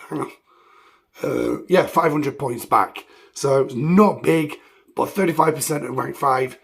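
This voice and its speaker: A man hosts a casual game review, his voice croaky from illness, rambling with ums and self-corrections. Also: medium pitch. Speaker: gaming channel host.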